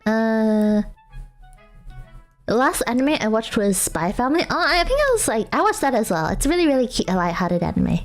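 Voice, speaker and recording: A woman's voice: a little high, sing-song, normal speed, faint music behind. A young woman streaming a casual chat with viewers, rambling and self-mocking with lots of fillers and frequent laughter. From Australia.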